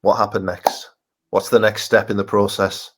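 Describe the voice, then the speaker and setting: A man's voice: fairly low pitch, flat delivery, normal speed. Friendly English male interviewer. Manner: friendly, laughs, stumbles on words. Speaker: interviewer, host. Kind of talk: casual interview.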